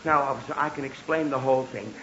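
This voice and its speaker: A man's voice: medium pitch, fast talker, bright, forceful tone, lightly acted. An American actor playing a middle-aged, flustered and stammering suburban father in 1940s radio comedy style. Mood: flustered, placating. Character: flustered, exasperated suburban father pleading for order. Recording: muffled, noisy, echoey 1940s radio broadcast.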